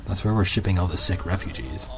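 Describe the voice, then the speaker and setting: An actor playing a weary, rule-bound doctor in an audio drama, speaking firmly. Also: male voice, low voice, animated, quick pace. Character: weary, rule-bound male army-camp doctor, firm.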